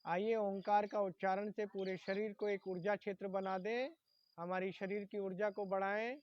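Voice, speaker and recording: A man's voice: sits high, little pitch movement, moderate pace. A man leading a guided relaxation meditation as a yoga instructor, giving instructions and naming body parts in short phrases. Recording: dull lo-fi sound in echoey room.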